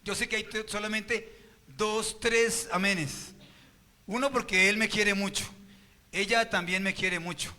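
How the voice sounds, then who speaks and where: A man's voice: high-pitched, fairly even intonation, unhurried, sharp tone. A Colombian man preaching a sermon as pastor at a church service, firing rhetorical questions at the congregation.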